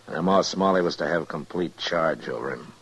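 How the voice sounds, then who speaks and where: An American actor playing a steady, decent frontier marshal in an old-time radio western, speaking in a plain, understated, folksy way. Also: male voice, very deep voice, normal speed, slightly rough, full character voice. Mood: grave, quiet. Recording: noisy vintage radio recording.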